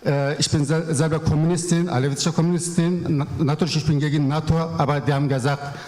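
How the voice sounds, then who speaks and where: A woman making a contribution at a seminar, speaking halting, German. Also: low voice, fairly even intonation, darkish tone. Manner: halting, non-native German. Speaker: audience member. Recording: echoey hall.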